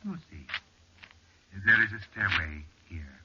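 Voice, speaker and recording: Serene, enigmatic male guide from old radio horror; lowish voice, formal and unhurried, heavy noise, muffled sound. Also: expressive. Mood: patient, mysterious. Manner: formal, measured, polite, unhurried. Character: calm, courteous, mysterious male cliff-dweller guide. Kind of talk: 1940s radio horror acting. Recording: very noisy, muffled, clicky vintage radio recording.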